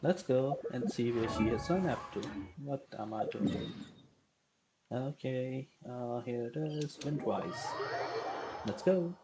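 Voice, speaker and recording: A woman's voice: a bass voice, animated, takes it slowly, noisy background. A woman streaming a video game live, chatting casually and narrating her moves. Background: game music.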